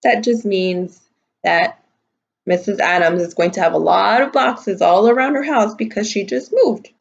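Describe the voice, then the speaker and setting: A woman's voice: medium pitch, unhurried, vocal fry, highs cut off. A woman teacher reading a picture book aloud to young children, adding simple explanatory asides. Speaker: kindergarten and first grade teacher.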